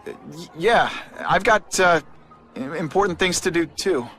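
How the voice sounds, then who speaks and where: A man's voice: high-pitched, lively intonation, moderate pace, pushed and bright, soft background music, a faint crowd. A man playing a young, wisecracking but earnest superhero in a video game, quippy and informal. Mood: wry. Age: young adult. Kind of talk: video game cutscene acting and hero monologue. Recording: clear noise behind the voice.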